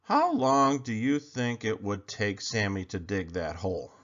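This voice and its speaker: Man as an encouraging, lively-pitched storybook dad. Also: measured pace, lo-fi sound. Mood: amused, curious. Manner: questioning, reassuring. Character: calm, encouraging storybook father, gently amused.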